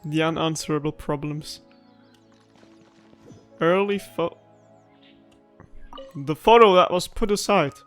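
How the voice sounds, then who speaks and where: A young woman giving casual, chatty, emotionally reactive let's-play commentary. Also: on the low side. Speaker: gaming streamer. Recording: game music behind voice. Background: video game music.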